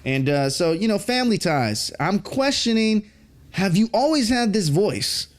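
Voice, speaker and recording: A man in his host's chair with a somewhat high voice asks long, looping questions. He sounds eager and friendly, piling on praise before getting to the point. His delivery is chatty and informal. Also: sing-song, unhurried. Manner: enthusiastic, chatty, rambling questions. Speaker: podcast host. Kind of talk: casual interview chat.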